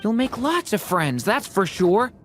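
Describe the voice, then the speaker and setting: Gentle, animated youth. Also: female voice, medium pitch, a little slow, soft background music. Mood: reassuring. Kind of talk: video game character acting.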